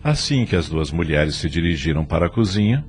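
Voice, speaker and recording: Deep, animated male story narrator. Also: a little fast, faint music behind. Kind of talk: dramatic scripted storytelling.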